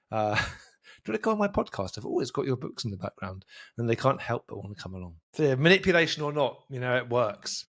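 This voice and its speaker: A man's voice: mid-range voice, fairly bright tone. A man hosting a podcast, talking loosely and chattily, often restarting his sentences as he thinks aloud. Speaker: podcast host. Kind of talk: casual interview talk.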